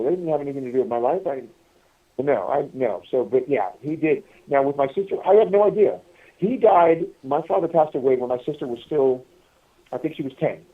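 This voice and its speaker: A man's voice: mid-range voice, far from the mic. Man on a tinny phone line. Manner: halting, repetitive, often addressing the listeners. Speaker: podcast guest calling from prison. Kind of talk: rambling casual storytelling. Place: prison phone call. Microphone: telephone handset. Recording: tinny, band-limited prison phone line.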